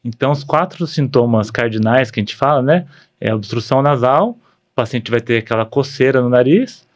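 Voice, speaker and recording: A man with a Brazilian accent speaks as a medical specialist in a podcast conversation. His voice is mid-pitched for a man, and he explains things in an informal, practical way. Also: a little mellow. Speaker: podcast guest, ENT doctor.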